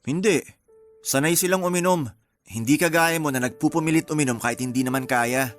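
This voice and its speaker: A woman playing a cool, protective young man in a Filipino radio romance drama, curt and deadpan but caring. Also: deep voice, brisk, natural voice. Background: music.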